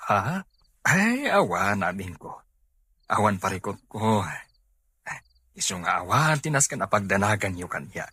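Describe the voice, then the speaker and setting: A man playing a troubled older brother and farm boss in an audio drama, with a husky voice. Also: fairly low pitch, sing-song, unhurried, long pauses, pushed and bright, no room sound, full character voice. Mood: pensive, evasive. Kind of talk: amateur Filipino audio drama acting.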